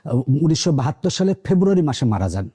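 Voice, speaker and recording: Very dark-toned Bangladeshi man, slightly high. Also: big pitch swings, quick pace, husky. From rural Bangladesh, near the Indian border. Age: 30s. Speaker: interview guest. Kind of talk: recounting life story conversationally.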